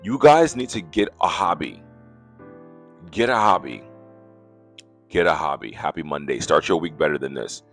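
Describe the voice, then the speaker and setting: A man's voice: a bass voice, expressive, normal speed, creaky, long pauses, a bit bright, soft background music. A man from the United States ranting in a mocking, exasperated tone about online comments. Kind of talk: casual reaction rant.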